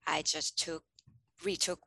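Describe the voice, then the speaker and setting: A woman from Thailand in her thirties talks through her answers with many small pauses and restarts. Her voice is lowish and gravelly, but it has a bright, crisp edge. It sounds dry, with little room around it. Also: little pitch movement, no echo. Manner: thoughtful, with many ums and restarts. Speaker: teacher guest. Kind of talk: interview answers.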